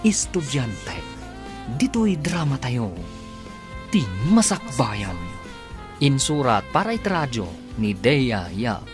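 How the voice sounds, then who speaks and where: With music playing strongly behind him, an Ilocano man narrates a radio serial. His scripted delivery is dramatic, and his pitch swings widely as he announces. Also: very animated, slow pace. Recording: prominent music under the voice.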